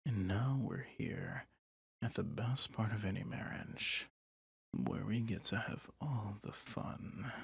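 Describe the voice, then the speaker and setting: A possessive villain prince played by a man murmurs to his bride in a low, very breathy and husky voice. He is smug and coaxing, tender with menace underneath. It is an intimate ASMR roleplay, recorded close and dry. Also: dry recording, full character voice. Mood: possessive, seductive. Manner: slow, seductive, menacingly tender.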